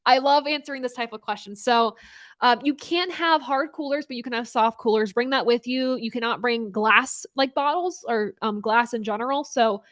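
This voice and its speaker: Young woman host, chatty live-stream talker, fairly high voice, dry close sound. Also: normal speed, a bit bright, no echo. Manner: chatty, upbeat, laughs often, many fillers and restarts. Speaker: host of a live racing talk show. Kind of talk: casual live-stream chat answering viewer questions.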